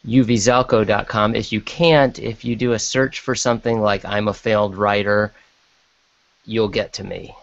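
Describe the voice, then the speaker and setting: A man chatting casually as a podcast guest, halting and self-deprecating, over an online call. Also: lowish voice, steady tone, gravelly, dry recording. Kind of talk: casual chat. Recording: dull lo-fi online call audio.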